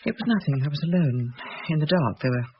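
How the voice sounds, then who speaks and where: An actress playing a frightened, sharp-tongued rationalist woman in a radio horror drama, emphatic and defensive. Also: sits low, lively intonation, quick pace. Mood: shaken. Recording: muffled, noisy old radio recording.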